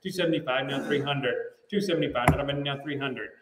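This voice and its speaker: A man's voice: medium pitch, quick pace, heavy background noise. A middle-aged American man working as an auctioneer, calling bids in a repetitive, rhythmic live auction chant. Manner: repetitive rhythmic bid-calling with filler phrases. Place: large indoor auction room. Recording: roomy, picked up at a distance.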